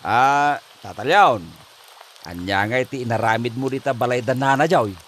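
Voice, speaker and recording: A man playing a nosy, loudly suspicious acquaintance in a comedy audio drama, exclaiming as he teases and interrogates. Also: medium pitch, moderate pace, a little hiss or hum, lightly acted. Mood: accusing.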